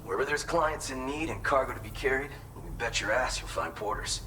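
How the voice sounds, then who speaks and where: A man's voice: mid-range voice, brisk, bright, forceful tone, a little hiss or hum, natural voice, rough recording. A man acting a folksy, earnest mountain porter in a video game, talking conversationally and with pride.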